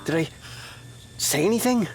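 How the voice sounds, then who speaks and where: An actor playing a thoughtful, skeptical male test subject in an audio drama, with a halting, pondering manner. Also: high voice, animated, soft background music. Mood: dazed, searching. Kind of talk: naturalistic audio drama acting.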